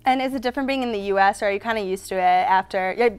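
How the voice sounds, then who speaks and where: A woman's voice: medium pitch, rapid-fire. A young woman, a student TV interviewer, asking friendly and upbeat questions in a studio interview. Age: young adult. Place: small TV studio with brick backdrop. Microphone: lapel mic.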